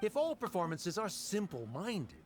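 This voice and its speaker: An actor playing a pompous, rigid, condescending scholar-official in an anime-style video game, speaking formally. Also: male voice, sits high, huge pitch swings, moderate pace. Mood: lecturing, disdainful.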